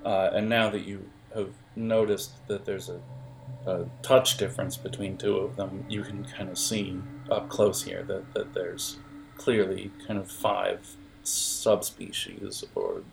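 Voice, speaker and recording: A man narrating an improvised tabletop game as game master, in a halting, explanatory way with hesitations. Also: low-pitched, creaky, slight background noise, rough recording.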